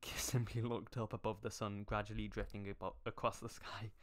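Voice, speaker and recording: Male streamer, lowish pitch, sarcastic read-aloud with mocking asides and stumbles. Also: little pitch movement, brisk. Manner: sarcastic, stumbling over words.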